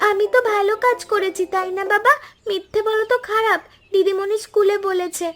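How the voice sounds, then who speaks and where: A woman's voice: very high-pitched, fairly even intonation, moderate pace, a bit bright, faint music behind. A woman voicing an innocent, talkative little child in a cartoon story. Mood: innocent, earnest. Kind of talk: animated story voice acting.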